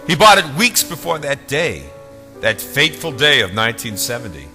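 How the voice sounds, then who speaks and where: Guy reading his novel dramatically, really bright forceful voice, pitch all over, music behind. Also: big pitch swings. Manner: theatrical, emphatic, with dramatic pauses. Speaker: author reading own novel. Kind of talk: dramatic scripted narration of a novel. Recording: long hall reverb, music behind.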